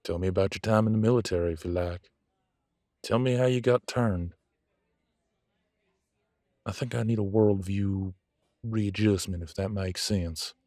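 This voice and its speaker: A man playing a proud, blunt werewolf in an audio drama, speaking haltingly as he grudgingly makes peace with a rival. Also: on the low side, a little mellow, no room sound, studio quality, natural voice. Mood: earnest. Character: gruff proud male werewolf, reluctantly making peace with rival. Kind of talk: scripted audio roleplay drama.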